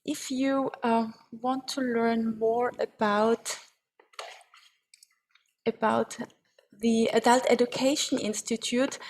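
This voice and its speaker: Slow, careful Austrian-accented woman presenter. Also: a little high, darkish tone. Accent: Austrian German. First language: German. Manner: hesitant, many ums and restarts, careful. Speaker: webinar presenter. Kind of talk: slide presentation on webinar.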